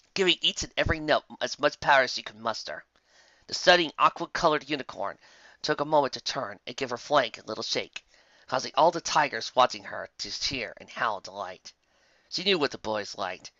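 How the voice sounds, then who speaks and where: A man reading a story as a scripted narrator, with dramatic, expressive delivery on a muffled, dry home recording. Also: medium pitch, brisk, dry recording. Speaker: fan fiction narrator.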